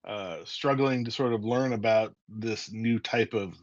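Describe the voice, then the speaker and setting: A man's voice: on the low side, unhurried, no echo. Man telling stories with a dry, dull sound. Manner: lively, acts out scenes with voices. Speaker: writer and guest. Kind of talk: animated storytelling in a casual interview.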